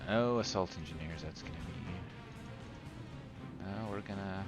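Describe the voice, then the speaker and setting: A man giving unscripted commentary on his own game, thinking aloud in a halting, self-deprecating way with many uhs and ums. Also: low voice. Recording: loud game music and battle sounds behind. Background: game music, artillery fire, vehicles.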